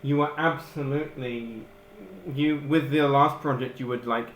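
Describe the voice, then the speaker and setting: A man hosting a casual podcast chat, chatty, quick to agree and joke. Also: mid-range voice, steady tone, echoey room, a little hiss or hum, rough recording. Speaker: podcast host.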